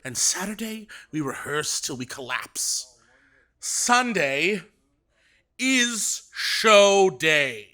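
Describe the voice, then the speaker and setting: An actor playing a domineering, theatrical radio director who speaks with sarcastic command in an audio drama. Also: male voice, high-pitched, big pitch swings, drawn-out words, sharp tone, exaggerated voice. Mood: ranting. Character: grandiose, domineering male radio director, mid-career.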